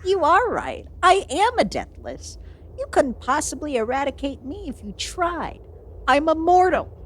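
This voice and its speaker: Woman playing a high, haughty, flustered villain sorceress. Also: huge pitch swings, unhurried, heavily acted. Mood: boastful. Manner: haughty, theatrical, defensive. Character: proud, flustered young-looking immortal villain sorceress. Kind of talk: fantasy audio roleplay acting.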